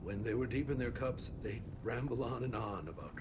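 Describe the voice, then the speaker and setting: An actor playing a kindly older frontier priest in a video game cutscene, gentle, folksy and unhurried in a preacherly way. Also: male voice, mid-range voice, expressive, background noise, lightly acted. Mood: wry, chatty.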